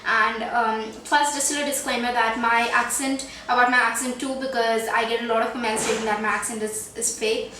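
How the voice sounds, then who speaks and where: A woman's voice: fairly high pitch, fairly even intonation, a little fast, hardly any pauses, pushed and bright. A young woman from India with an Indian English accent touched by Trinidad, chatting through a makeup tutorial in a small bedroom, pleading and full of fillers. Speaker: beauty vlogger. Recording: roomy, distant mic with background noise.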